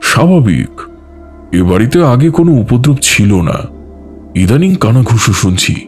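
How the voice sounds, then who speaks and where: A man playing a teasing older uncle in an audio story, speaking in a deliberate, mock-serious way. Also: medium pitch, very animated. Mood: grave, confiding. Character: teasing older uncle hosting guests in ancestral mansion. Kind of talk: dramatised audio story dialogue. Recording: music behind the voice. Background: background music.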